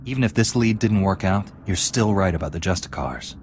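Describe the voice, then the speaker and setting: An actor playing a calm, resolute knightly hero in a video game, speaking directly. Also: male voice, low voice, fairly quick, a little hiss or hum. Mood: composed. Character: resolute, composed male Jedi knight hero.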